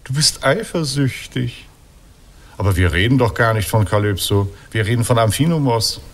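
An actor in a German radio play playing wily old Odysseus, a teasing, unhurried, mock-courtly husband. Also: male voice, medium pitch, animated.

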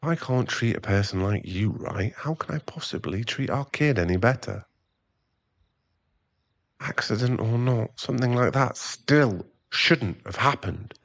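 A man playing a remorseful, tender boyfriend and expectant father in an intimate ASMR roleplay, speaking softly in halting, stammered phrases. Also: mid-range voice, creaky, no echo. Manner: halting, stammering, soft-spoken apology.

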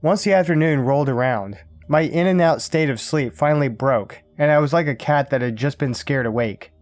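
A man reading a first-person scary camping story aloud in a calm, even storytelling manner. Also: medium pitch, a little fast, no room sound, soft background music. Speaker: narrator. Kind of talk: scripted horror story narration.

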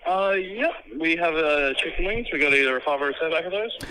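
Quick, bright man over the phone. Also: a little high, expressive. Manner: obliging. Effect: phone line. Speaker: pizza shop order taker. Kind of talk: customer service phone call. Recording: noisy, sharp phone call on speaker.

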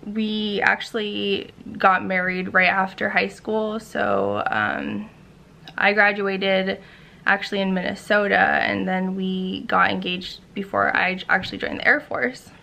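A woman's voice: medium pitch, unhurried, vocal fry, bright, forceful tone. A 25-year-old woman from Hawaii with an American accent, chatting casually to camera in an informal, hesitant way that trails off. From Hawaii, United States. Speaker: vlogger. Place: room in a house, indoors.

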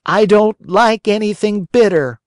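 Man doing a whiny high puppet boy. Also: unhurried, no echo, clean studio sound. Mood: whining. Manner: whiny, wheedling. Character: whining naughty little puppet boy.

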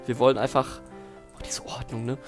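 A man's voice: medium pitch, flat delivery, a little fast. A young man hosts a gaming session in a casual, joking way. His banter is ironic and teasing, with game music playing behind him. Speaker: Let's Play host. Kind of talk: casual joking gameplay chat.

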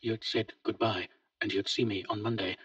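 A man voicing a grieving, halting grown son. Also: lowish voice, steady tone, a bit bright, husky, no echo, pitched above the performer's own voice. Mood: pleading. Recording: dull lo-fi, distant mic.

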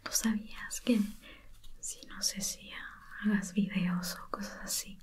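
Whispering woman, slow ASMR spa roleplay. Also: medium pitch, fairly even intonation. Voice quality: breathy unvoiced whisper. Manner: gentle, soothing, intimate, reassuring. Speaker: ASMR video creator playing a spa beautician.

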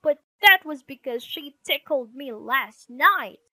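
A woman voicing a defensive young boy bear cub in a storybook, loud, whiny and protesting. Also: sits high, expressive, slow pace, no echo, studio quality, voice pushed up, exaggerated voice. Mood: accusing.

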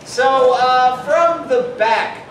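A man's voice: very high voice, extremely slow, a bit bright. A man in his thirties hosting an improv comedy show, casually explaining a game to the audience. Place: indoor theatre stage. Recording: echoey stage hall, distant mic, audience.

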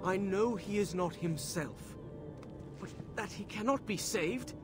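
An actor playing an anguished, defiant young warrior prince in a video game, urgent and sharp-tongued. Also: male voice, sits high, measured pace. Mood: indignant. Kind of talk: video game cutscene voice acting. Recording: loud game music behind. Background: loud music.

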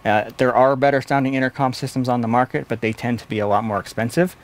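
A man's voice: medium pitch, fast talker. A man in his forties giving an explanatory product review at a desk in a small studio office. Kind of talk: explanatory gear review to camera.

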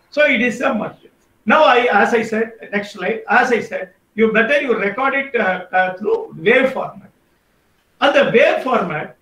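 A man with an Indian English accent explains things in an online workshop, his speech halting and full of fillers. His voice sits high for a man. He sounds set back from the mic in a roomy, echoey space, as on a video call. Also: fairly bright tone, far from the mic. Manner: hesitant, many fillers and restarts. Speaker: guest speaker on podcasting.

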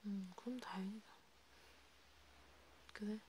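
Hushed, mellow woman in soft ASMR chat. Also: mid-range voice, fairly even intonation, slow pace, slight background noise. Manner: hushed, rambling, intimate one-sided conversation. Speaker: ASMR creator. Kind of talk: soft ASMR roleplay chat, partly whispered.

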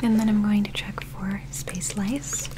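A young woman playing a calm, caring alien physician in a whispered, gentle ASMR medical roleplay, close to the microphone over background noise. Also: medium pitch, lightly acted. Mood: gentle, reassuring. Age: young adult. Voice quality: whispery, soft. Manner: slow, gentle, clinical, deliberate.

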